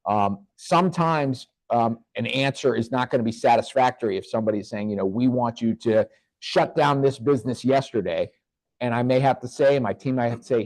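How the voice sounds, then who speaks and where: A man speaking as a government official at a community meeting, answering questions in an earnest, measured way with occasional restarts. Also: medium pitch, a little mellow, no echo, rough recording.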